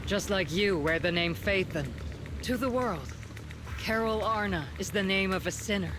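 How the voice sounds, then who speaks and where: An actress playing a proud, bitter fallen soldier commander out for vengeance in a video game, with solemn, theatrical delivery. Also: female voice, mid-range voice, animated, moderate pace, background noise, lightly acted. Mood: bitter, resolute. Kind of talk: dramatic video game voice acting. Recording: game dialogue under loud music. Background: loud dramatic game music.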